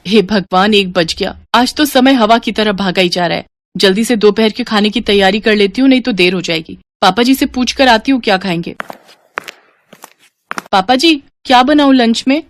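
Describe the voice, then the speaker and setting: A woman's voice: medium pitch, moderate pace, natural voice. A woman voicing a dutiful, overworked young mother and daughter-in-law in a moral story cartoon. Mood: harried. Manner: eager to please, harried.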